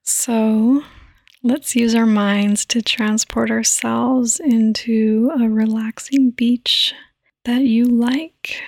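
A woman speaks in a soft, breathy near-whisper with a mellow tone. She guides listeners toward sleep gently and soothingly, keeping her delivery very even and unhurried. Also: fairly high pitch, no room sound. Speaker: podcast host and meditation guide.

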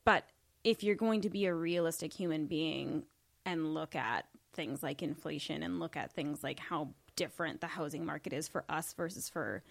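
Gravelly-voiced young woman, self-assured. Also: low voice, normal speed. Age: young adult. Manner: confident, dry, matter-of-fact. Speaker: podcast co-host. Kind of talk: casual chat.

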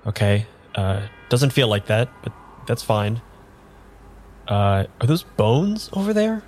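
An actor playing a nervous, hesitant amnesiac man in an improvised radio drama, talking in a halting, understated way. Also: on the low side, big pitch swings, a little mellow, a little hiss or hum. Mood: uneasy, hesitant. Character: nervous, hesitant amnesiac man having his tarot read.

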